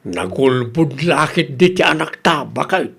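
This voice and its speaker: A man with an Ilocano Filipino accent playing an expressive husband and father in a rural family comedy radio drama. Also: on the high side, measured pace, gravelly, breathy edge, heavily acted. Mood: gossipy, indignant.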